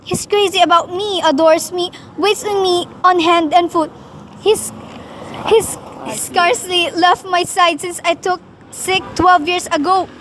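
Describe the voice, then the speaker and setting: A woman playing a high-pitched, fretful invalid wife. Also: steady tone, unhurried, fairly bright tone, slight background noise. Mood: nervous. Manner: fretful, pleading, imperious. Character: anxious, demanding bedridden invalid wife, fretful and insistent. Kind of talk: radio play dramatic acting.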